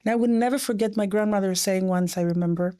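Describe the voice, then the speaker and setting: A middle-aged woman guest, an economist whose first language was French, telling stories in an animated way, with quick bursts and soft, hushed quoting. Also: medium pitch. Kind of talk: conversational interview.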